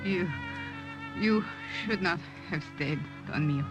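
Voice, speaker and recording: A woman acting a frail, ailing, gentle old Italian grandmother in an old-time radio drama, speaking weakly and haltingly. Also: on the low side, lo-fi sound. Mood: feeble, regretful. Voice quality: weak, faint. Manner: halting, self-sacrificing. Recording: old radio recording with music behind.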